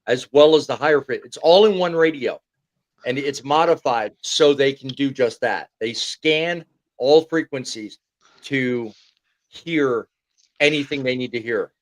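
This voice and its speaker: A man hosting a live-streamed call-in chat show, explaining technical details at length and chiming in often with quick agreement. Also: mid-range voice, dry recording, low-quality recording. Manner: explains technical points at length, frequent "yeah". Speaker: show host.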